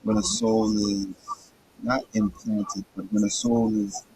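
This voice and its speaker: A hoarse man reading a book aloud with casual commentary, halting and stumbling over his words, his voice raspy. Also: lowish voice, level tone, a little mellow, a little hiss or hum, low-quality recording. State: hoarse, throat hurting.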